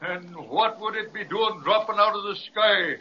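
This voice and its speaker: A man's voice: high voice, normal speed, natural voice. A man voicing a stubborn, self-important roly-poly toy policeman with an Irish accent in a children's radio serial. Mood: self-important, unbudging. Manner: lilting stage-Irish phrasing, unbudging. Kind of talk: old-time children's radio serial acting. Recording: dull, noisy old radio recording.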